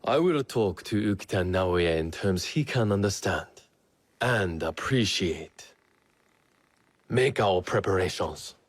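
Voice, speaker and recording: Low-voiced resolute samurai lord. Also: male voice, expressive, dry recording, heavily acted. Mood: firm, resolute. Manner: formal, deliberate, grave. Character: resolute, measured middle-aged samurai lord, strategist. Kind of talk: dramatic video game acting.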